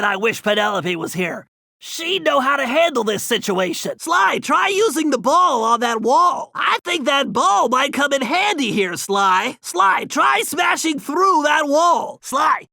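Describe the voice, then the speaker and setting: Nerdy turtle genius, high and lively, gravelly edge, bright tone, dry close sound. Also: male voice, no echo, exaggerated voice. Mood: analytical. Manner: brainy, fussy, encouraging, excitable. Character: nerdy bespectacled male turtle tech genius. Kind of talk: video game voice lines.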